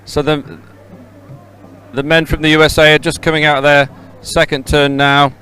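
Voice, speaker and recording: A man giving live, unscripted sports commentary outdoors, halting often to repeat and correct himself. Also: on the high side, little pitch movement, sharp tone, smooth, faint music behind. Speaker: race commentator. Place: outdoors beside a race course.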